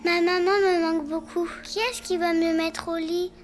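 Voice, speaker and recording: Casting: small cartoon hedgehog girl, wistful and vulnerable, extremely high pitch, music behind. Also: flat delivery, normal speed. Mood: sad, vulnerable. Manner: childlike, plaintive. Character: sad, brave little orphaned hedgehog girl. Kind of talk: French-dubbed children's cartoon acting.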